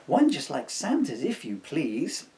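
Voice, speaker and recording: A man's voice: fairly high pitch, wildly swooping pitch, a little slow, warm tone, slight background noise. A man voicing a sweet, polite, clever young girl in a children's storybook, speaking earnestly. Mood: polite, delighted.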